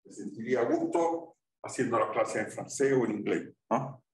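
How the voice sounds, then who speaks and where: Chilean man, professor chatting between lessons with "¿ya?" tags, mid pitch, heard from afar in a very echoey room. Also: normal speed, a little hiss or hum. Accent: Chilean Spanish. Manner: conversational, tags sentences with "¿ya?" and "¿ah?". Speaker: university math professor. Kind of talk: informal chat with students during class. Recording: very echoey room, distant mic.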